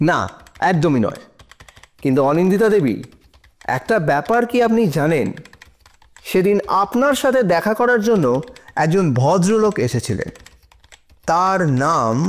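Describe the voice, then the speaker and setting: A man playing a shrewd, composed defense lawyer in an audio drama, questioning deliberately with pauses for effect. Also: sits high, sing-song. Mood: probing, composed. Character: shrewd male defense lawyer cross-examining in court, probing, composed. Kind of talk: audio drama courtroom acting.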